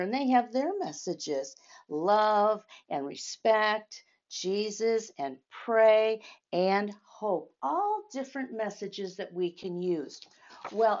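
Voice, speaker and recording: A woman teaching and reading a story aloud to young children, speaking in simple, deliberate phrases and asking them questions. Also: mid-range voice, darkish tone, highs cut off.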